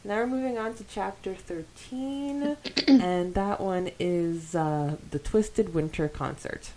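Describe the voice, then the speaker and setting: A woman's voice: medium pitch, slow pace, warm tone. A woman co-hosting a podcast, chatting casually and reading out comments in an informal, giggly way. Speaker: podcast co-host.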